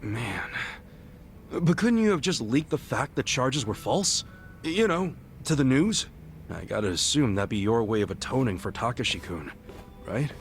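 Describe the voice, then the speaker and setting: An American man acting a loyal, warm-hearted ex-gangster in a video game cutscene, earnest, plain-spoken and streetwise. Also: mid-range voice, big pitch swings, a little slow, faint music behind. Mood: sympathetic, probing.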